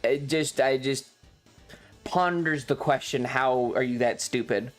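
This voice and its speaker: A streamer rambling casually through a personal story in an exasperated, sweary way, with halting, repetitive phrasing. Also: medium pitch, faint music behind.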